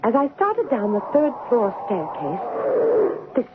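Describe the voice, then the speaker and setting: An actress playing a polite, forthright eighteen-year-old governess in a 1970s radio drama, speaking in a measured way. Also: female voice, fairly high pitch, wildly swooping pitch, very noisy. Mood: reflective. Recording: tinny band-limited old radio recording.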